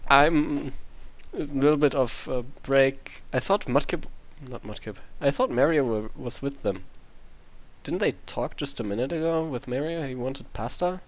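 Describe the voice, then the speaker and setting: A man's voice: medium pitch, measured pace. A man reading out a fan story in a mocking dramatic way, breaking off with incredulous, sarcastic asides. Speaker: reader of an online fan story.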